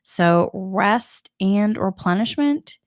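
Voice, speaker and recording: A woman from Massachusetts with a slight Boston accent, giving a gentle, rambling spiritual talk on a live stream, often repeating herself. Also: mid-range voice, lively intonation, takes it slowly, no room sound, studio quality. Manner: repetitive, gentle, rambling with many restarts. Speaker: spiritual energy forecaster. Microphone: live stream microphone.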